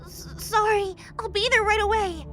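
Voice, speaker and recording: A woman voicing a youthful, innocent younger-brother god in an audio drama, soft and feminine, with a timid, halting, earnest delivery. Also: very high voice. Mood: timid surprise. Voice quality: soft, delicate, feminine-sounding. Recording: background music bed.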